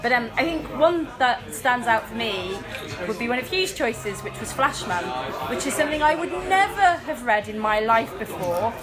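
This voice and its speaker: A woman's voice: a little high, very animated, moderate pace. A woman giving frank opinions in a casual interview. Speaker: book group organiser being interviewed. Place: busy indoor pub. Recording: pub crowd and music behind.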